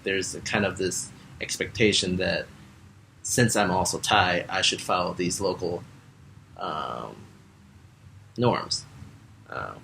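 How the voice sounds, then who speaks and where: Young man, very low gravelly voice, laid-back interview talk, faint noise behind. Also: low-quality recording. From United States. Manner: relaxed, many fillers. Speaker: Peace Corps volunteer. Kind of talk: casual interview answers.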